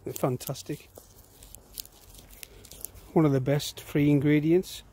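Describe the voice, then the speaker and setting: Unhurried man foraging outdoors, slightly high voice. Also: steady tone, warm tone, breathy edge. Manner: plain, unhurried, pointing things out as he digs. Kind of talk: casual walk-and-talk foraging demo. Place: outdoors in woodland.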